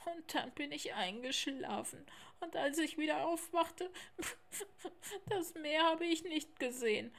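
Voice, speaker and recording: Woman voicing a timid little tiger cub, high-pitched. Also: expressive, slow pace, gravelly, a bit bright, heavily acted. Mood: sad, frightened. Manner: anxious, childlike. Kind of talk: children's storybook character voice.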